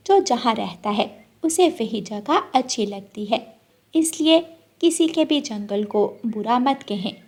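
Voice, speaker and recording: A woman narrating a scripted moral story for children as the storyteller. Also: fairly high pitch.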